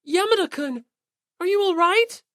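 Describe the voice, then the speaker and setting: A gentle, apologetic teenage boy, played by a woman in an anime-style audiobook. The voice sits very high and bright, with a polite, hesitant, small-voiced delivery. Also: animated, normal speed, no echo, studio quality. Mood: meek, worried.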